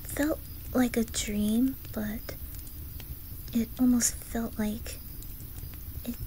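A woman voicing a shy, curious nine-year-old boy in an ASMR roleplay, with an attempted Swedish accent and a soft, often whispered, hesitant delivery. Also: a little high, steady tone, a little hiss or hum, low-quality recording. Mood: shy, gentle. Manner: hesitant, stammering, slow.

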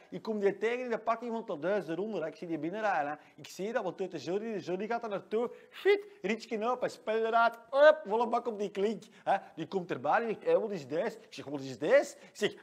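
An actor playing a cocky 22-year-old shop salesman with a Leuven Flemish accent, who boasts and talks about himself in the third person in a comedy monologue. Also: high voice. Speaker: comic character giving weekly rundown.

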